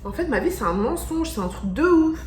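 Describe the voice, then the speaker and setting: An excitable young woman from France talks straight to camera in a casual vlog, piling up slangy exclamations. Her voice rings in an echoey room, with faint music underneath. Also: sits high, brisk. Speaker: vlogger.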